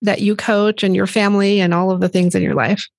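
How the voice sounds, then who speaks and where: A woman's voice: medium pitch, flat delivery, fairly quick, vocal fry, no room sound, studio quality. A woman hosting a podcast interview, asking questions and affirming her guest with enthusiasm. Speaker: podcast host.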